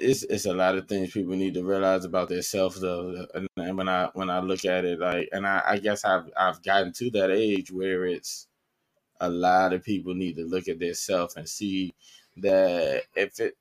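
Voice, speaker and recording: Guy w a lowish voice, casual podcast chat, acts out other people's quotes. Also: normal speed. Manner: animated storytelling, quoting others. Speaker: podcast co-host.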